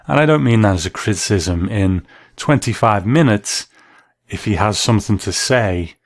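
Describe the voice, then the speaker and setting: A man with a low voice narrates scripted commentary on classic drama. He is measured and analytical, recorded close and dry with no room sound. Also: no room sound.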